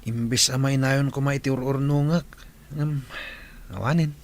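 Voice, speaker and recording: A man's voice: mid-range voice, fairly even intonation, measured pace, close to an everyday voice. A man playing an earnest, baffled young village son in a radio drama. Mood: puzzled, earnest.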